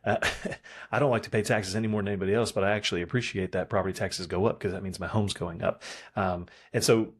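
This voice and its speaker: A man's voice: lowish voice, steady tone, quick pace, slightly rough. A man, a guest on a local podcast, speaking earnestly and repeating words as he thinks. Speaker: chamber of commerce chairman, guest. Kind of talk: casual interview chat.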